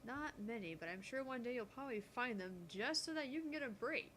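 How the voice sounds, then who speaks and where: A woman's voice: a little high, animated, a little fast, a bit bright, a little hiss or hum, poor audio. A woman co-hosting an amateur read-aloud of a visual novel, stumbling and correcting herself.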